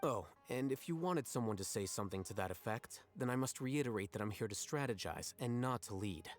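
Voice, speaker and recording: A man playing a calm, unsentimental strategist in a video game, matter-of-fact and deadpan. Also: lowish voice, a little fast, natural voice. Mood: dryly dismissive. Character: calm, dry, unsentimental young male strategist. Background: game music.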